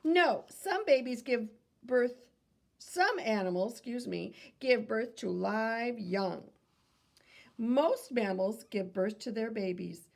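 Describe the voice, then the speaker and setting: A woman reading a children's nonfiction book aloud in a teacherly way, pausing for questions and small self-corrections. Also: on the high side, vocal fry. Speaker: read-aloud reader and teacher.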